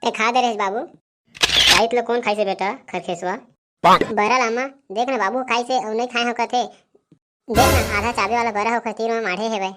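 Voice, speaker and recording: A woman's voice: a little high, fairly even intonation, moderate pace, fairly bright tone, slight background noise, lightly acted. Woman voicing a doting Chhattisgarhi mom. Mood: teasing. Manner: playful, coaxing, giggly. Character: doting, teasing cartoon mother. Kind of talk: cartoon voice acting. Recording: music clearly behind.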